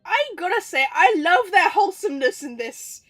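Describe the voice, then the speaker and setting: A woman's voice: very high voice, big pitch swings, normal speed, fairly bright tone, soft background music. A woman livestreaming a game with casual, playful, teasing commentary. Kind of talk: casual chatty gameplay commentary.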